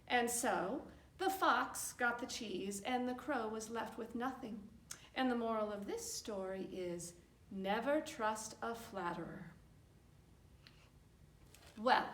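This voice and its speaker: Female children's storyteller, high animated voice, simple expressive phrasing, recorded in an echoey room. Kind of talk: storytelling for a children's puppet show.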